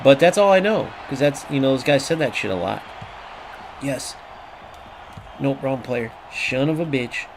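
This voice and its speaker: A man's voice: mid-range voice, a little slow, pauses often. A man streaming a football game, commentating live with profane, ranting trash-talk about his opponents. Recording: clear background noise.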